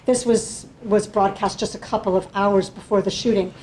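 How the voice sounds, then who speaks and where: A woman's voice: medium pitch, steady tone, normal speed, a little mellow, slight background noise. A woman speaking as a panelist, giving an academic lecture with slides in an explanatory way, often checking in with the audience.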